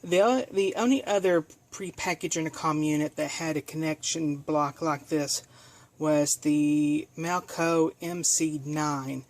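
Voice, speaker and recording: Halting presenter doing a casual demo. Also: medium pitch. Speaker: video presenter demonstrating equipment. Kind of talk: casual hands-on product demonstration.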